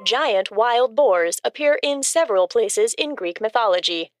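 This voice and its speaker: A woman reading scripted informational asides as a co-host, matter-of-fact, measured and deadpan. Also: a little high, no echo, clean studio sound, soft background music.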